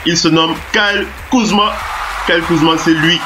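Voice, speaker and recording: Flu-stricken French radio sports host. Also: male voice, on the high side, fairly even intonation, normal speed, background noise. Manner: hesitant, many filler sounds and restarts, hyped-up announcer bursts. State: has the flu, congested. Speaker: radio talk show host. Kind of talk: NBA radio talk show. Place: radio studio. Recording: web radio broadcast.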